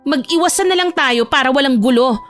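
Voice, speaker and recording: Feisty, stubborn young heroine, put on by a woman, high register, expressive audio-drama delivery over a music bed. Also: brisk. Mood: irritated. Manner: expressive, snappy, exclaiming. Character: feisty, stubborn young woman, food-loving and easily irritated. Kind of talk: Tagalog romance audio drama acting.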